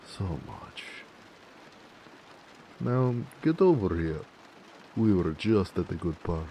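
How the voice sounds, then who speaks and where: A man playing a gentle, teasing orc blacksmith in a romantic audio roleplay, with an affectionate manner. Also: very animated, a little hiss or hum, heavily acted. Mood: tender. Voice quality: deep, gravelly. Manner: affectionate, playfully sarcastic, unhurried.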